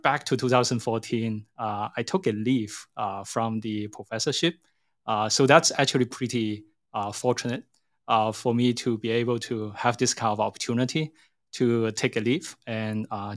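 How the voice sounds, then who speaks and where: Middle-aged man, Hong Kong accent, mid-range pitch, conversational interview guest, halting explanations. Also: steady tone, a bit bright. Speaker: interview guest, tech company CEO.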